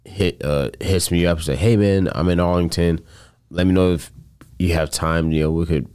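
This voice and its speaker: Very deep-voiced man telling a story. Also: steady tone, moderate pace, darkish tone, smooth. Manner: earnest, swears casually, loose rambling phrasing. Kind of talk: casual storytelling chat.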